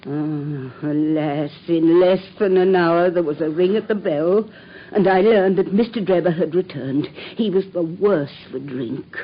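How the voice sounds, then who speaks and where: A woman's voice: lowish voice, a little slow, lo-fi sound. A distraught widowed landlady. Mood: distressed. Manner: distressed, pleading, breaking into tears. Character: anxious widowed landlady, middle-aged mother. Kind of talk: radio drama acting.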